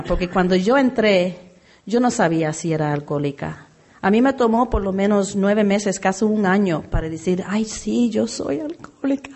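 A woman's voice: mid-range voice, darkish tone. A woman from Puerto Rico giving her personal testimony at a recovery meeting, hesitant, with many fillers and restarts. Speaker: AA meeting member sharing.

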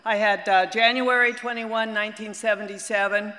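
An older woman with a loud, bright, forceful voice addresses a group. Her words ring with a long hall reverb. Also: mid-range voice, fairly even intonation, moderate pace. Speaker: speaker at a recovery meeting. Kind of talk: personal testimony to a group.

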